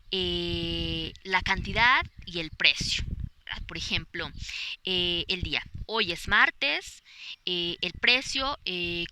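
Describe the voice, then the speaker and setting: A woman's voice: medium pitch, bright, forceful tone, slight background noise. A woman with a Mexican accent, a Spanish teacher giving a podcast lesson outdoors in a park, explaining patiently with many fillers and examples.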